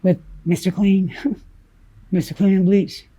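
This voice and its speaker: A woman from South Dakota, whose first language was Spanish, telling her story haltingly in an interview, full of fillers and restarts. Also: mid-range voice, fairly even intonation, warm tone, slightly rough. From South Dakota, USA. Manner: halting, many fillers and restarts, blunt about grim details.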